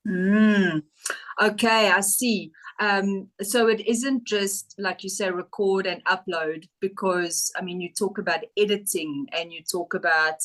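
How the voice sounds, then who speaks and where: A woman's voice: medium pitch, fairly even intonation, measured pace, a bit bright, low-quality recording. A woman hosts a business interview show over a video call. She sounds enthusiastic and gushing, and her voice sits in a middle range for a woman. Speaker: podcast host. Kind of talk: interview hosting.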